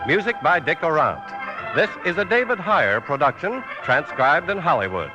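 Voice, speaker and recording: A male American announcer from 1950s radio delivers scripted copy in a high, very lively voice. Music plays behind him on a dull, old broadcast recording. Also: normal speed.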